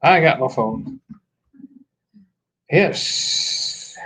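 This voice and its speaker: A man's voice: mid-range voice, lively intonation, very slow, fairly bright tone. A man co-hosting a casual live-stream chat, telling jokes in a playful storyteller's manner.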